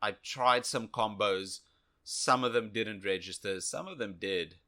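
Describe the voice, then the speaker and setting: Blunt man with a bright voice. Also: lowish voice, measured pace. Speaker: podcast co-host. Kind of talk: casual video game review chat.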